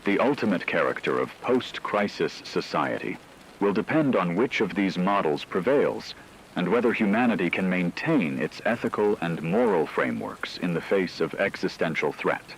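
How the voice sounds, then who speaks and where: A man playing a grave, analytical news anchor in an apocalypse radio drama, speaking in a measured, formal way. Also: low-pitched, expressive, creaky, a little hiss or hum, poor audio. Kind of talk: scripted radio news commentary.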